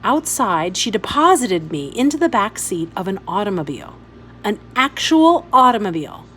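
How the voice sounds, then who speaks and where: A woman's voice: mid-range voice, sing-song, a bit bright, a little hiss or hum. A woman reading a story aloud expressively, as a teacher would for pupils. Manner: instructive, pausing to point out details.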